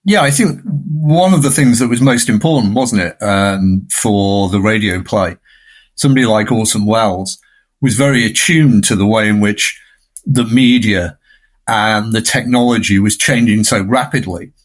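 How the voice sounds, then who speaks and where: A man's voice: fairly low pitch, normal speed. A man speaking as a podcast guest, explaining media history in an emphatic, discursive way.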